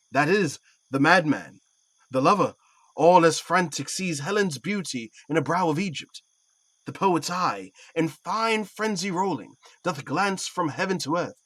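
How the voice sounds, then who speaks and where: A man plays a stately duke in a Shakespearean audio drama. His delivery is measured, sceptical and declamatory, in a slightly high voice. It is recorded very dry. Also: a bit bright, no room sound, rough recording. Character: Theseus, stately sceptical male Athenian duke. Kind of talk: Shakespearean audio play acting.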